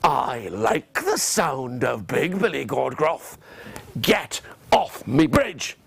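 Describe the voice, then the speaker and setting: A man voicing a huge, hungry, scary troll in a children's story, with slow, drawn-out menace. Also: mid-range voice, big pitch swings, vocal fry, husky, no room sound, voice pushed down, full character voice. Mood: greedy, menacing.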